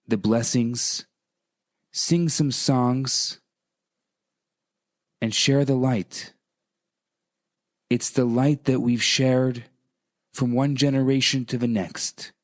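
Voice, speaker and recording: A man speaking as a rabbi on radio, in a dramatic, inspirational storytelling style, deliberate and emphatic. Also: mid-range voice. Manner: deliberate, emphatic, repeats phrases for effect.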